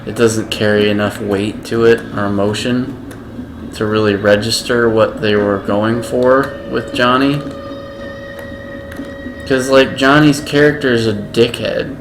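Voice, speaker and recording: Male gaming vlogger, unhurried monotone delivery, audible hiss behind. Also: medium pitch, poor audio. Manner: slow, halting, self-described monotone. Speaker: gaming video creator. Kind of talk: casual gameplay commentary.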